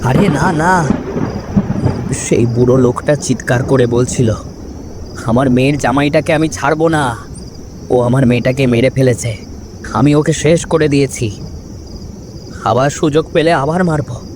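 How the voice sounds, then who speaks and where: A man's voice: medium pitch, measured pace, pauses often, noisy background, close to an everyday voice. A man playing a fearful village gossip in a ghost story, recounting rumours in an emphatic, conspiratorial way.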